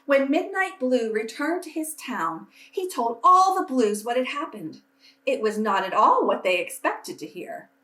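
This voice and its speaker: A woman reading a children's story aloud expressively, with deliberate phrasing meant for young listeners. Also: on the high side, big pitch swings, a bit bright. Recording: roomy echo, distant microphone.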